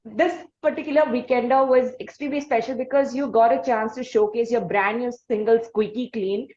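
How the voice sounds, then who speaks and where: A woman's voice: on the high side, fairly even intonation, brisk, a little mellow, a little hiss or hum. A woman hosting a conversational interview, speaking in an effusive, wordy and hesitant way. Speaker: podcast host interviewing a musician. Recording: muffled, echoey room sound.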